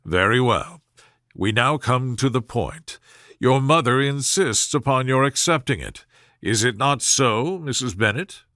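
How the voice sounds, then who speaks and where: A man voicing a dry, sardonic older father from a classic novel, speaking in calm, deliberate deadpan. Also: medium pitch, dry recording. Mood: stern deadpan. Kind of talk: storybook character voice in classic novel reading.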